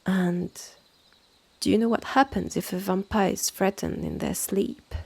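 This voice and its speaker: A woman plays a stern witch with a French accent in an intimate audio roleplay. She speaks slowly and deliberately in a creaky voice, haughty and impatient, as if addressing someone far beneath her. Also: medium pitch, darkish tone. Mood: condescending, ominous. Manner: condescending, deliberate, clipped phrases with exasperated sighs. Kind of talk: scripted second-person audio roleplay, close and measured.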